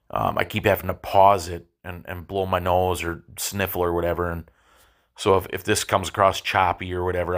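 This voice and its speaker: A man with a stuffy, nasal voice from a cold, talking in a halting solo podcast monologue and often repeating his words. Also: low voice, fairly bright tone. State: congested with a cold. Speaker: podcast host. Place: his apartment.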